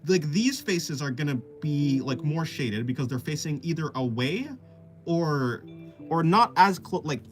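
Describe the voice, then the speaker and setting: A man's voice: fairly high pitch, expressive, unhurried, soft background music. A man streaming while he draws, chatting casually and explaining art, with rambling talk that often restarts mid-thought. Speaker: artist streamer. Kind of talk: casual live-stream chat while drawing.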